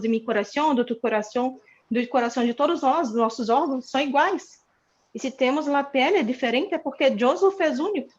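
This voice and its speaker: A woman sharing a personal story slowly and earnestly at a church study. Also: fairly high pitch. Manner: slow, earnest, mixing Portuguese and Spanish. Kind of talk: personal testimony. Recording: muffled lo-fi sound.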